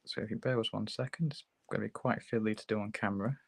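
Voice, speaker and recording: A man with a hoarse sore throat, hosting a relaxed, chatty livestream tutorial while building a model kit at his workbench. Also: lowish voice, fast. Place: hobby workbench, filmed from overhead.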